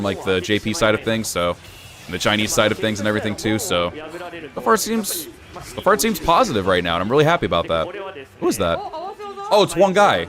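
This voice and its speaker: A young man streaming, chatting casually in an even, low-key way with sudden bursts of excitement. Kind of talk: casual live-stream reaction chat.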